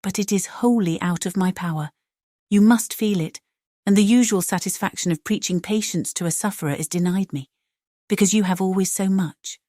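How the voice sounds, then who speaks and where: A woman playing a composed, sensible young gentlewoman in a dramatized audiobook, measured and sincere. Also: mid-range voice, dry recording, studio quality, lightly acted. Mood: sympathetic. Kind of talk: dramatized audiobook character acting.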